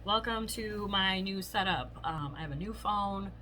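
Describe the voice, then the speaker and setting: A hoarse woman chatting casually through a beauty product review, rambling with lots of fillers. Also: medium pitch, measured pace, sharp tone, slight background noise. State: hoarse from illness. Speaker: beauty vlogger. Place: home.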